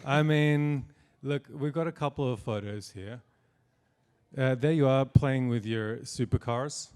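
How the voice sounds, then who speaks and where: A man's voice: medium pitch, unhurried, darkish tone, clear, resonant tone. A man hosting an interview, asking questions in a wry, teasing way with many hesitations. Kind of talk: casual interview talk.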